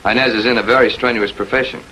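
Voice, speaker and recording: An actor playing a sardonic, smooth-talking man in a 1940s American TV crime drama, with dry, mock-affectionate sarcasm. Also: mid-range voice, fairly even intonation, quick pace, sharp tone, lightly acted. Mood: smug. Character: sardonic, smooth-talking man, coolly needling his lover. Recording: dull, noisy 1940s film soundtrack.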